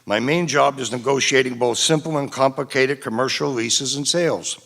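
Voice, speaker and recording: Man doing a formal campaign intro, slow and deliberate, medium voice. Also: mid-range voice, gravelly, a bit bright. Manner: formal, deliberate, addresses the room. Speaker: city council candidate. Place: council chamber debate.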